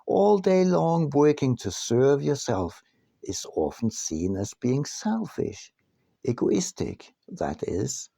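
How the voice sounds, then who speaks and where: A man narrating his own audiobook from a script in a deliberate, emphatic manner. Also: mid-range voice, sing-song, creaky, mellow, no room sound, studio quality. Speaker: author reading own book.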